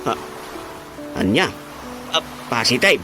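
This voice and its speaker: An actor playing an exclamatory, stammering Filipino husband and drinking buddy in an Ilocano radio comedy drama. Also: male voice, medium pitch, very animated, slow pace, music in the background. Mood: rattled, pleading.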